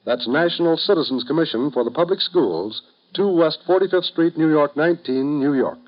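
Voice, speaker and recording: Formal 1950s American radio announcer, muffled sound. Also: male voice, on the high side. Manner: formal, earnest, measured. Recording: dull vintage radio recording.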